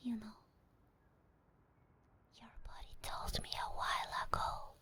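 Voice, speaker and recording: A woman playing an obsessed, possessive sleep paralysis demon in an ASMR roleplay, whispering slowly and breathily with coaxing, teasing menace. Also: sharp tone. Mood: coaxing, menacing. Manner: slow, deliberate, coaxing and controlling.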